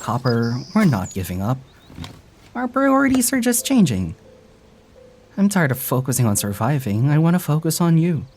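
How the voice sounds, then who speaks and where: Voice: mid-range voice, very animated, normal speed, slight background noise, lightly acted. An actor playing a tender, grounded companion who speaks earnestly in an audio drama, with faint music underneath. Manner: gentle, earnest.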